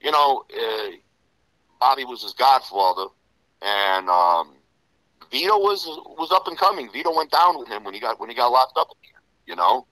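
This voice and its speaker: A man's voice: medium pitch, lively intonation, moderate pace, bright, forceful tone, no echo, low-quality recording. A middle-aged man with a New York Italian-American accent, telling stories as an interview guest in a loose, rambling way, packed with "you know" fillers. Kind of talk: casual storytelling interview.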